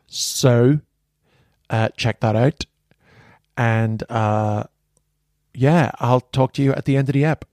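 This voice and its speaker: A man's voice: mid-range voice, takes it slowly, a little mellow, dry recording, clean studio sound. Stammering American man in his forties. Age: 40s. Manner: rambling, stammering restarts. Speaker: podcast host. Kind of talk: casual podcast chat.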